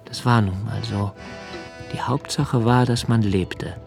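A brooding young poet in a radio drama, speaking low, slow and hushed with a gravelly edge. Music runs clearly beneath his voice. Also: fairly even intonation. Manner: slow, hushed, intimate, drops to near whisper. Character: young male poet narrator, anxious and observant. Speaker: narrator in a radio play. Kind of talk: literary radio drama reading.

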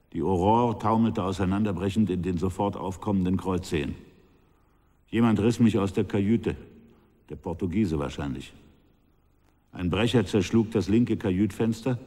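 An actor playing a somber sea captain on trial in a German radio drama, recounting events in stark, measured phrases. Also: male voice, sits low, warm tone. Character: grave male sea captain on trial, recounting his shipwreck. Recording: long reverb, faint music behind.

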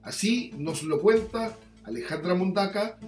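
Echoey, higher-pitched male newsreader. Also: lively intonation, measured pace, a little mellow. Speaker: news presenter. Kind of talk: scripted news narration. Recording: roomy echo, faint guitar music behind.

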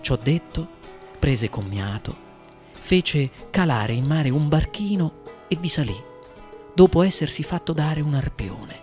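A man reading a literary story as dramatic narration, with deliberate phrasing and varied emphasis. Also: a little high, animated, a little mellow. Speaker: story reader. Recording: music behind the voice.

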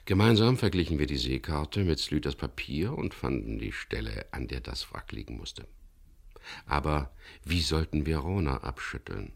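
A man playing a seafarer who tells his own adventure, narrating a radio crime drama in a measured, matter-of-fact way. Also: rumbling low, vocal fry, husky, full character voice. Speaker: first-person narrator.

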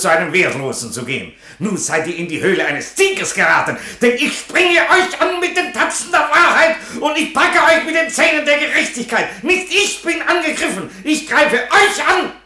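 A man's voice: fairly high pitch, bright, forceful tone, roomy sound, exaggerated voice. A man playing a solemn, defiant, fatherly Roman emperor in a theatrical radio drama, declamatory in manner. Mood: thundering, furious. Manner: solemn, declamatory, from whispers to thunder.

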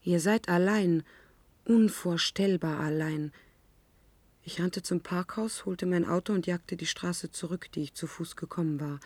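An actress playing an anguished, isolated young woman who narrates her ordeal in a German science-fiction radio drama, deliberate and dramatic. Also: lowish voice, a little mellow, dry recording.

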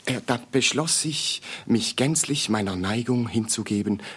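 Meek, husky bookkeeper turned sleuth. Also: male voice, mid-range voice, fairly bright tone. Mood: reverent, fervent. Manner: deferential, halting, fussily formal. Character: meek, obsequious early-retired bookkeeper turned amateur detective. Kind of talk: German radio play acting.

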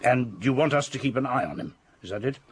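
An actor playing a brisk, blustery English police colonel in a radio drama, clipped and hearty. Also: male voice, mid-range voice, lively intonation, a little hiss or hum, close to an everyday voice. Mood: brisk, wry.

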